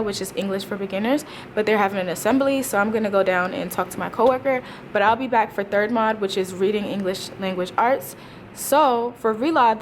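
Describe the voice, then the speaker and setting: A woman's voice: medium pitch, a little hiss or hum. A woman in her twenties, a teacher, chatting casually to a vlog audience in an informal, slangy way with wry asides. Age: 20s. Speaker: teacher and vlogger.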